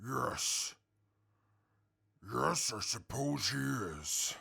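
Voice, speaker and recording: A superior, disapproving super mutant. The voice is extremely gravelly and husky, slow and stiffly formal, in radio drama style. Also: male voice, medium pitch, pushed and bright, full character voice. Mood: grudging. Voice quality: gravelly, rough. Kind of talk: post-apocalyptic audio drama acting.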